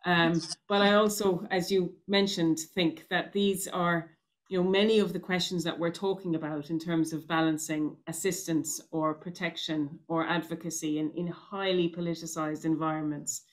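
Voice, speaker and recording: A woman's voice: on the low side, little pitch movement, moderate pace. A woman in her thirties moderating an online panel, wrapping up with hesitant speech full of ums and restarts over a video call. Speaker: host and moderator. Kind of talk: closing remarks of a panel.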